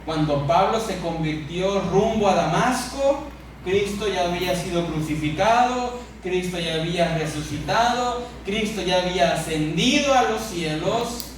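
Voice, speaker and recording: A man with a Mexican accent preaching a sermon as a pastor, speaking emphatically with repeated phrases and pauses for effect. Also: sits high, expressive. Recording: echoey church hall, distant mic, noisy.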